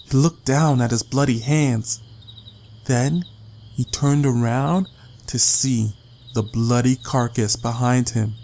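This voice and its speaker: A man's voice: medium pitch, vocal fry, a little mellow. Man narrating a dramatic story, faint noise. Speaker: audiobook narrator.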